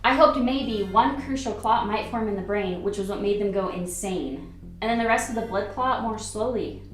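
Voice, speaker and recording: A woman's voice: mid-range voice, moderate pace, natural voice. A woman playing a concerned, attentive female doctor in a radio play, with an earnest delivery. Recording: echoey room. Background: music.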